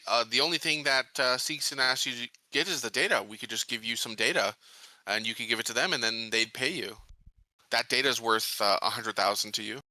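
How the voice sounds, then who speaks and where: Guy playing a tabletop rpg, really bright forceful voice, picks his words slowly, dry close recording. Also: mid-range voice, no echo, low-quality recording. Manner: hesitant, deliberate, frequent pauses. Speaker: tabletop game player. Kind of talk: casual in-character negotiation.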